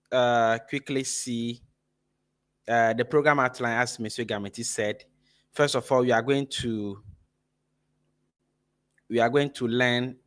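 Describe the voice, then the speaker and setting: A man's voice: medium pitch, a bit bright. A man from Ghana hosting a live-streamed workshop for teachers, speaking energetically through a phone mic and often checking in with "right?". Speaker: workshop host and teacher trainer. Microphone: phone camera mic.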